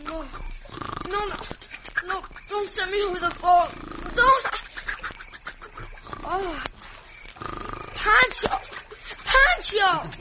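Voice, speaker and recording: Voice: extremely high pitch, expressive, extremely slow, long pauses, exaggerated voice. A performer playing a trusting, frightened little boy in an old radio western. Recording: very noisy old radio recording.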